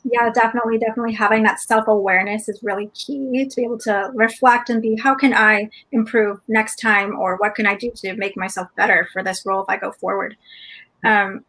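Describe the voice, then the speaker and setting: A woman's voice: medium pitch, fairly even intonation, fairly quick, fairly bright tone, poor audio. A woman moderating a webinar panel over a video call, upbeat and encouraging as she passes questions to each speaker.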